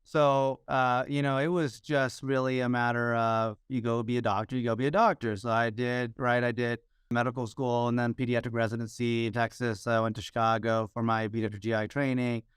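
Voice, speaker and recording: A man's voice: medium pitch, flat delivery, a little fast, hardly any pauses, smooth, dry recording. A middle-aged American man telling his story as an interview guest, earnest, with frequent restarts and "you know" fillers. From United States. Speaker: guest, hospital digital executive.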